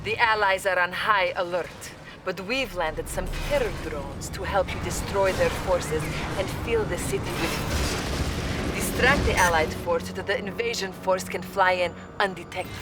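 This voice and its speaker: A woman's voice: lowish voice, measured pace, close to an everyday voice. An actress playing a devoted Soviet intelligence officer with a Russian accent, solemnly rousing her commander in a video game. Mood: grave, urgent. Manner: solemn, rousing, addresses listener as comrade. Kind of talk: dramatic video game cutscene acting. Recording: loud music behind. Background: orchestral game music.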